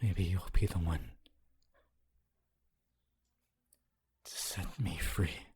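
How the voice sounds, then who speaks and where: A man voicing a gentle, wistful ghost of a long-dead scholar in a slow, formal, breathy ASMR roleplay monologue. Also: fairly even intonation, exaggerated voice. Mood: hopeful, intrigued. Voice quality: low, breathy, husky. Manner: slow, deliberate, formal phrasing with long pauses.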